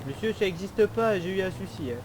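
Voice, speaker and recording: High-pitched clownish man, roleplaying. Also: animated, a little fast. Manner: teasing, crude, clownish, repeats phrases. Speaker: player trolling in an online roleplay game. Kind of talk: improvised in-game roleplay banter. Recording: faint background noise.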